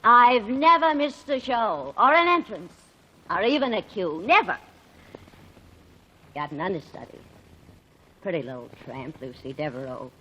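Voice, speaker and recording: A slurring, sarcastic fading stage actress; lively 1940s radio delivery, muffled old broadcast. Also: female voice, medium pitch, unhurried, long pauses, exaggerated voice. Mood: tipsy, sarcastic. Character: tipsy fading stage actress, bitter and mocking.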